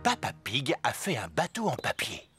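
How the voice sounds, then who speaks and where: A woman's voice: very deep voice, huge pitch swings, moderate pace, full character voice. A woman reading scripted narration for a children's cartoon. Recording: loud music behind the voice.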